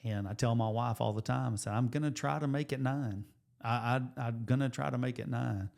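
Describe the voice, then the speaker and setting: A man in his forties, a high school coach and teacher, co-hosting a casual podcast and talking things through at length with many restarts. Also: brisk, vocal fry. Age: 40s. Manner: rambling, restarts, thinks out loud with numbers. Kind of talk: casual chat.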